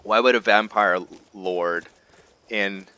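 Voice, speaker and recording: Deep-voiced man, slow and halting gameplay commentary. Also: sharp tone, clear, resonant tone, poor audio. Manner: halting, thinking aloud with long hesitant pauses. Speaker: gamer host of a roleplay series.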